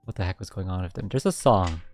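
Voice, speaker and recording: A young man streaming a casual radio-style chat, reading out listener submissions, laughing often and reacting in an animated way. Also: quick pace, faint music behind. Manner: animated, laughs often, jumps high when excited.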